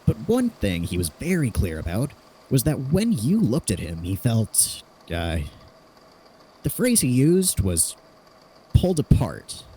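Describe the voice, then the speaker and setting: A man's voice: medium pitch, wildly swooping pitch, measured pace, warm tone, a little hiss or hum. A man playing a curious, analytical theorist in an audio drama, conversational and inquisitive. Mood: intrigued.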